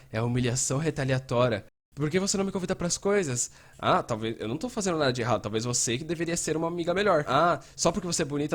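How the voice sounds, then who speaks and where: Fast-talking Brazilian man, dubbing a frank explainer. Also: a little high. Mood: mimicking, pointed. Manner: animated, acts out quoted dialogue, casual swearing. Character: frank, casual psychotherapist explaining bullying to viewers. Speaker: fan dubber voicing a mental health educator. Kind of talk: dubbed conversational explainer talk.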